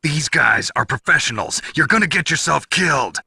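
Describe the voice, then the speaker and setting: An actor playing a gruff, battle-hardened soldier in a video game, with a gravelly, curt and world-weary delivery. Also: male voice, fairly high pitch, animated, moderate pace, pushed and bright, slight background noise, heavily acted. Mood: alarmed, urgent. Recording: dramatic music behind the voice.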